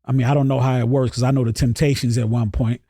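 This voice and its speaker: A man from Atlanta hosting a podcast interview, loose and slangy, jumping in with quick questions. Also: medium pitch, level tone, warm tone, breathy edge, dry recording, clean studio sound. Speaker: podcast host. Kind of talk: casual slangy interview chat.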